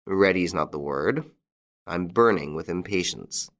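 A man playing a dry, unflappable gentleman thief. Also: low-pitched, unhurried, heavily acted. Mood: dry calm. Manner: calm, dry, mocking. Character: wry, unflappable gentleman thief, male adult.

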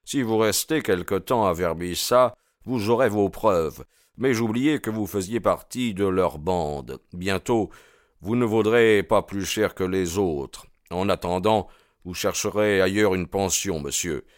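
Man acting a stern, low-voiced landlord. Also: unhurried, dry recording, studio quality. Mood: stern, aggrieved. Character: stern, wary landlord, father of a grown daughter. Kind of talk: audiobook character dialogue.

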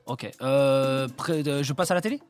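A man playing a guessing game, asking yes-or-no questions in a steady, methodical way. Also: medium pitch, moderate pace, faint music behind. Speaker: game contestant.

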